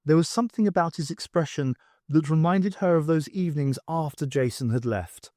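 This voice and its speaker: A man's voice: fairly high pitch, fairly quick, dark tone, no echo, clean studio sound. A man reading a scripted story aloud as an audiobook narrator. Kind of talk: scripted narration of a fan audiobook, acting out dialogue.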